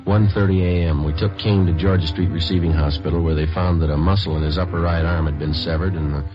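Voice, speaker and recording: An actor playing a terse, methodical police detective in a 1950s radio drama, narrating and questioning in a clipped, deadpan way. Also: male voice, deep voice, fast, natural voice. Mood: businesslike. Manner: clipped, deadpan, matter-of-fact. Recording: dull vintage radio recording with music.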